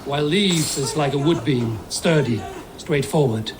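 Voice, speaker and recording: A man's voice: a little high, unhurried, close to an everyday voice. A man playing a stoic, watchful lone Viking warrior in dramatic video game dialogue. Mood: calm, watchful.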